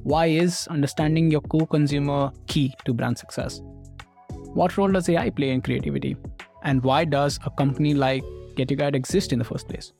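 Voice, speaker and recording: A man's voice: fairly high pitch, fairly even intonation, a little fast, gravelly, a little mellow, faint music behind. A man hosting a podcast, reading a polished scripted intro and asking interview questions. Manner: polished, promotional delivery. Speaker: podcast host.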